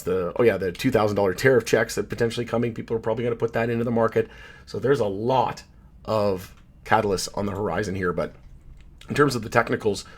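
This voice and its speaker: Hoarse man rambling through market talk. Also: on the low side. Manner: rambling, self-correcting, loose with fillers. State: hoarse, losing his voice. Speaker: channel host, market commentator. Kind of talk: casual chart commentary to camera.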